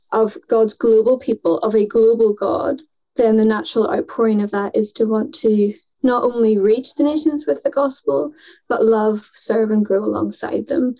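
An earnest, articulate woman in her thirties, talking thoughtfully in a podcast conversation. Her voice is mellow and warm, pitched a little high. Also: poor audio. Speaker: mission worker.

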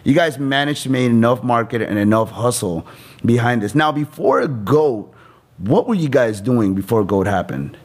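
A man's voice: mid-range voice, lively intonation, moderate pace. Informal male podcast host, thirties. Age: 30s. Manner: informal, rambling, swearing. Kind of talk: casual interview with motivational talk.

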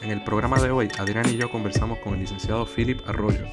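A man reading a quick, upbeat scripted podcast intro. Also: on the low side, steady tone. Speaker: podcast co-host. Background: loud music.

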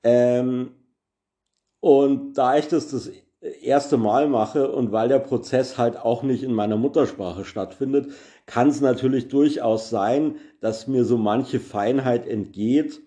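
Guy doing a solo podcast, slow and mellow, lots of umms, careful explainer. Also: medium pitch. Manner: hesitant, frequent filler sounds. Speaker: podcast host. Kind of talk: solo podcast monologue summarizing news.

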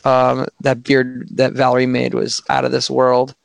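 A man with a slightly hoarse voice, chatting casually on a podcast with many restarts and fillers. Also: medium pitch, monotone, measured pace, no echo.

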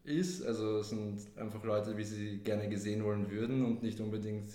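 A man's voice: on the low side, fairly even intonation, moderate pace, warm tone, echoey room. A man in his twenties, a podcast guest, answering thoughtfully. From Vienna, Austria. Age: 20s. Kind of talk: casual podcast chat. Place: podcast studio.

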